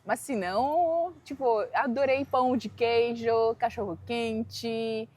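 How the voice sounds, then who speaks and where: A woman's voice: on the high side, takes it slowly, darkish tone. A woman from Haiti, talking casually in an interview. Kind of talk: documentary interview.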